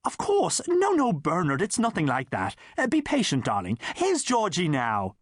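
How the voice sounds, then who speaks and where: A man's voice: sits high, huge pitch swings, normal speed, husky, no echo, studio quality, voice pushed up, heavily acted. A man voicing a theatrical, affected mother who gushes in darlings, in an audiobook. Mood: soothing. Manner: affected, dramatic, fond of calling people darling. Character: gushing, theatrical, posh-minded mother of two boys.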